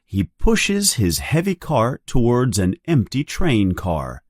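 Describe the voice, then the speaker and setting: A narrator reading a scripted story aloud for learners in a deliberate, clearly enunciated manner. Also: mid-range voice, huge pitch swings, dry recording, clean studio sound. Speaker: audiobook narrator. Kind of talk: scripted read-along storytelling.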